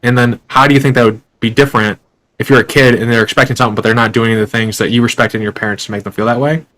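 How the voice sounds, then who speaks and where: A young adult man hosts a casual interview at a quick clip. His questions ramble along, full of fillers and restarts. His pitch is mid-range for a man. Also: steady tone, fairly bright tone, rough recording. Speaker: podcast host.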